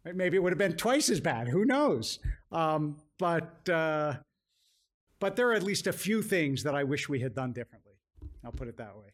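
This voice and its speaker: Higher-pitched American man, measured public speaker. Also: sing-song. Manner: measured, hedging, repeats phrases for emphasis. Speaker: rabbi, guest speaker answering audience questions. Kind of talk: thoughtful public question-and-answer talk.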